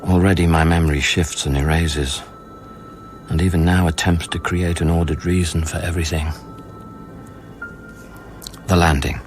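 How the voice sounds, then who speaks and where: A man's voice: low voice, fairly even intonation, normal speed, long pauses, lightly acted. An actor playing a reflective, troubled scientist narrating in a radio play, pensive and hesitant in his phrasing. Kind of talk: radio drama monologue. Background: music.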